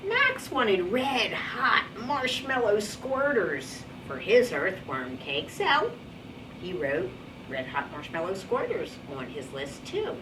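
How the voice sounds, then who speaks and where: A woman's voice: medium pitch, huge pitch swings, takes it slowly. A woman teacher reading a picture book aloud to young children in a classroom, encouraging and instructive as she talks to them. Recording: distant mic, very noisy classroom.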